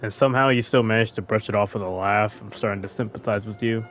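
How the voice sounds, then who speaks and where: A man's voice: lowish voice, steady tone, quick pace, fairly bright tone. A man giving casual gameplay commentary as he plays and reacts to a game. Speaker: gamer reacting during a playthrough. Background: game music.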